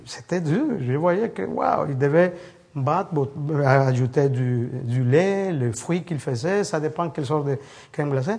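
A man from El Salvador gives a loose, conversational sermon, often stumbling and restarting as he hunts for words. His voice sits a little higher than most men's. He mixes scripture with stories from his childhood. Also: a little mellow. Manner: halting, many restarts, storytelling. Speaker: preacher. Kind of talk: informal sermon with congregation.

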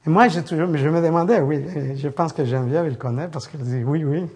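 A man's voice: on the high side, a little mellow, slightly rough. A man from El Salvador preaching an informal sermon to a small congregation, telling stories with frequent restarts and searching for words. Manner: halting, many restarts, storytelling.

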